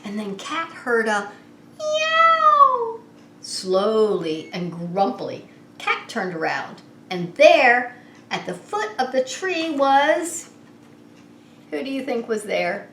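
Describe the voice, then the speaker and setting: A woman reading a picture book aloud to young children as a preschool story time host, expressive and unhurried, drawing the listeners in. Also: medium pitch. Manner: animated, unhurried, asks the child listeners questions. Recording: roomy echo with faint background noise.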